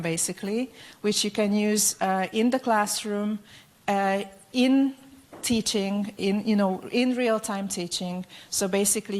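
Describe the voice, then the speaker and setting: A woman with a Hungarian accent giving a conference presentation, speaking haltingly with frequent hesitations and repeated words. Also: mid-range voice. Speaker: educational publisher's account manager, presenting.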